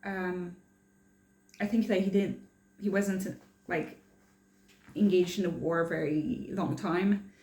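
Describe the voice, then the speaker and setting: A woman's voice: fairly low pitch, fairly even intonation, takes it slowly, long pauses, dark tone, roomy sound. A young woman from France chatting casually in a personal vlog, rambling and hesitant with many fillers and restarts. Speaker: vlogger.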